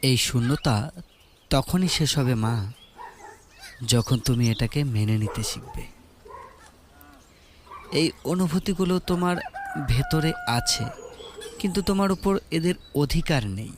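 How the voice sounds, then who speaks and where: Man doing a wise gentle monk, fatherly, very slow soothing delivery, faint hiss behind. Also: fairly high pitch. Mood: calm. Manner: slow, measured, soothing counsel. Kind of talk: audio story dialogue.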